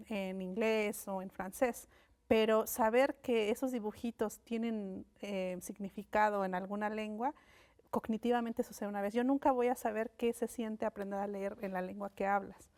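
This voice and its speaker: A woman whose first language is Mixe, a linguist and writer from Mexico, speaking as a guest in a thoughtful, unhurried interview on a studio set, with frequent fillers and restarts. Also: a little high, a little mellow. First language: Mixe (Ayuujk).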